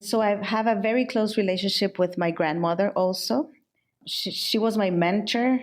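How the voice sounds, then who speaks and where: A middle-aged woman from El Salvador, a designer, answering interview questions in a candid, unhurried way. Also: medium pitch. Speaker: guest, furniture and interior designer.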